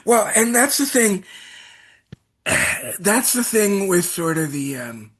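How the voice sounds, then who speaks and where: A man's voice: high voice, big pitch swings, slow pace, fairly bright tone, breathy edge. A man from Iowa, a guest on a podcast, who talks in a hesitant way, full of fillers and trailing off. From Iowa, United States. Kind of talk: casual chat.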